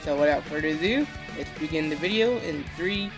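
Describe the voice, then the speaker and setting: A man's voice: on the high side, animated, brisk. A man losing his voice, hosting a casual reaction video and chatting through explanations. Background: video audio and music playing.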